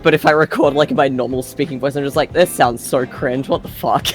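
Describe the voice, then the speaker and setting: A man with an Australian accent, a guest in casual chat, unhurried and self-deprecating, laughing easily. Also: mid-range voice, faint music behind.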